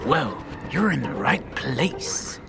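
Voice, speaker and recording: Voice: mid-range voice, very animated, very slow, creaky, over music, heavily acted. An actor voicing a sly, threatening, fur-covered rat catcher man in a fantasy audio drama, with taunting, slangy, clipped questions. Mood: sly, probing.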